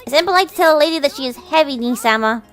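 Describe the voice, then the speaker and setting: Gentle, wistful little sister for an anime-style game, polite and high-voiced, acted by a woman, over music. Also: lively intonation, rapid-fire. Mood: smug, playful. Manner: soft, polite, doting. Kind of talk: visual novel character voice in a let's play.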